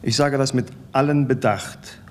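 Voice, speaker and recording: A man's voice: medium pitch, measured pace. A man delivering a scripted commentary in a formal, literary register. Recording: faint ambient noise.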